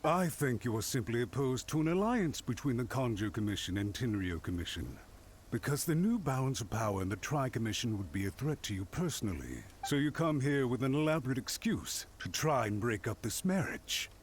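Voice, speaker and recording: Man acting a haughty official, swooping in pitch. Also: sing-song, fairly quick, slight background noise, natural voice. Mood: smug challenge. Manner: formal, pompous, emphatic. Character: haughty, scheming, defensive clan official, easily indignant. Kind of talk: anime-style video game voice acting.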